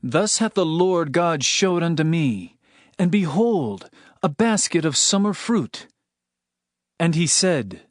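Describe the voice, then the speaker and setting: Slow, high-voiced fervent prophet. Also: male voice, sing-song, no room sound, clean studio sound. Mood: solemn recounting. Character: earnest male herdsman turned prophet, pleading and warning. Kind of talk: dramatized scripture reading. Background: music.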